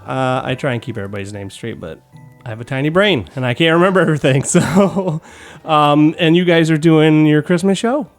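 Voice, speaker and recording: Male podcast host, slightly high voice, casual and self-deprecating, loose rambling interview style. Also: brisk, faint music behind.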